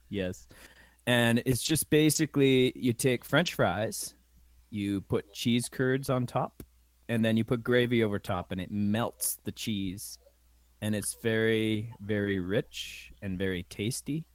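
A man's voice: on the low side, slow, dry recording. A man co-hosting a podcast, chatting casually and playfully. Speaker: podcast co-host. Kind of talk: casual chat.

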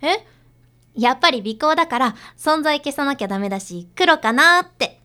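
Woman playing a bright, high-voiced perky idol girl. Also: lively intonation, moderate pace. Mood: pleading, perky. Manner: casual, girlish, unabashed. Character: perky, overfamiliar young female underground idol. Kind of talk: radio drama acting.

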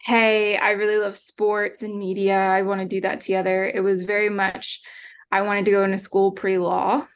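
Gravelly-voiced young woman laughing mid-sentence. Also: mid-range voice, flat delivery, moderate pace. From Pennsylvania, United States. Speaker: guest, social media manager. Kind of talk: casual interview chat. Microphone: video call.

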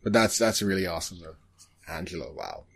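A man co-hosting a podcast in casual chat, halting and often repeating short phrases. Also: sits low, big pitch swings, gravelly, a bit bright. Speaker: podcast co-host.

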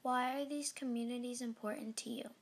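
A woman's voice: fairly high pitch, fairly even intonation, brisk, vocal fry. A young woman asking her family members questions in a friendly, upbeat way. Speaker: student interviewer. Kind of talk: family interview.

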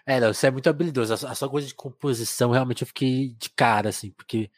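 A man's voice: mid-range voice, rapid-fire, no room sound. Laid-back man with caipira accent. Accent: São Paulo countryside (caipira R). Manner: relaxed, anecdotal, stammers with repeated words. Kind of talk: casual conversation.

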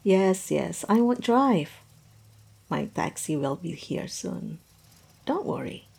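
A woman's voice: fairly low pitch, very animated, slow pace, gravelly, a little mellow, slightly rough, heavily acted. A woman acting in a roleplay audio drama as a tipsy, lovestruck young woman, self-mocking and playfully theatrical. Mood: soft, wistful.

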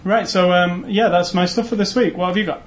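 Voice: mid-range voice, fairly even intonation, fairly quick. A podcast co-host chatting casually and reading out show plugs in a joking, easygoing way. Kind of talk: casual chat.

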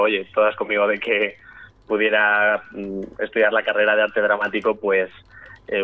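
Young guy on a phone line, low flat voice, chatty, dry tinny sound. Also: no room sound. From Spain, raised in Valladolid. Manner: chatty, friendly, many fillers and restarts. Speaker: actor, interview guest. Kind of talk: casual phone interview.

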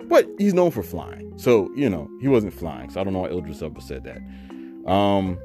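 Animated low-voiced man telling a story. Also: normal speed, over music. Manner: animated, digressive, many fillers and asides; self-described bassy voice. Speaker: vlogger. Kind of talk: rambling personal storytelling.

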